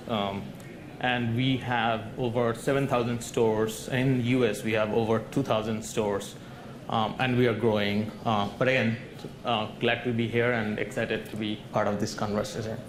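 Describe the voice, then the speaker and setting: A man's voice: fairly low pitch, little pitch movement, unhurried. A man introducing himself on a conference panel, hesitant with frequent ums. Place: conference hall stage. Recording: echoey hall, faint noise.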